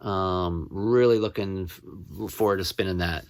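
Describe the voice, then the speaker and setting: A man chatting casually as he shows off his finds as a collector, rambling and halting, often repeating what he reads out. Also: deep voice, steady tone, dry recording. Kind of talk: casual show-and-tell to camera.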